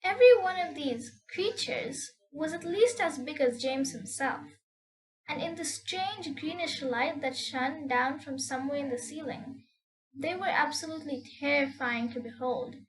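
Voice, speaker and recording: A woman reading a children's story aloud with expressive, deliberate, theatrical phrasing. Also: high voice, crowd noise, low-quality recording.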